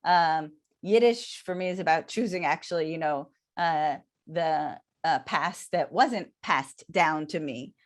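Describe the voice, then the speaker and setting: A woman, a Hebrew speaker, talking thoughtfully over a video call with many pauses and fillers. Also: low voice. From Israel. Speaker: academic interviewer. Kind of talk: reflective conversation.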